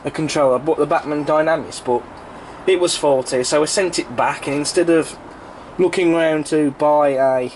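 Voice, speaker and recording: A British man with a croaky, congested voice from a cold and sore throat, giving a casual, rambling, explanatory review to camera as a hobby video reviewer. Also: fairly high pitch, normal speed, darkish tone, a little hiss or hum, rough recording. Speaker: model railway video reviewer.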